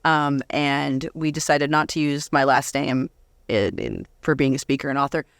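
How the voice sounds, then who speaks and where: A woman's voice: a bass voice, animated, normal speed, dry recording. A woman author and speaker talking casually in a conversational interview, chatty and giggly, in a dry close-sounding recording.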